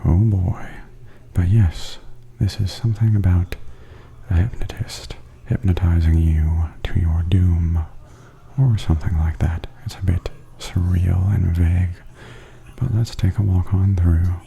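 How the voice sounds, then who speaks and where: Male ASMR tour narrator: hushed, breathy, low-pitched and slow, gentle observational delivery over light background noise. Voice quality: breathy, often near-whispered. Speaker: vlogger giving a walking tour. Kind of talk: softly spoken ASMR commentary. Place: walking through a theme park and its indoor attractions. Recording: steady background noise and ambience.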